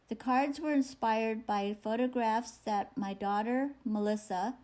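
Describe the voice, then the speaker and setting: Artist, female, voice on the high side, very slow careful delivery of a prepared statement. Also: mellow, poor audio.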